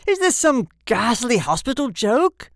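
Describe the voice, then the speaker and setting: A woman's voice: fairly high pitch, big pitch swings, a little slow, no room sound, studio quality, pitched above the performer's own voice. A woman voicing a frantic, horrified man, a new father in a storybook, in an outraged, gasping manner. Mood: frantic rage.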